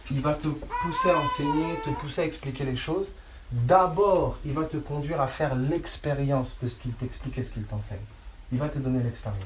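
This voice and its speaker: Insistent preacher in an echoey room. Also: male voice, mid-range voice, darkish tone. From France. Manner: insistent, often checks listeners follow; colloquial, repeats phrases for emphasis. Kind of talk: informal sermon, Bible teaching. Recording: echoey room, clear background noise.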